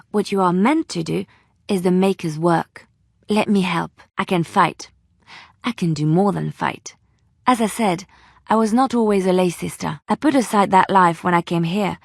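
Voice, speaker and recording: An actress playing a devout, gentle young woman rogue in a fantasy video game, earnest and polite. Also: medium pitch, no room sound, studio quality. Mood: pleading, fervent. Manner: earnest, polite, softly pleading.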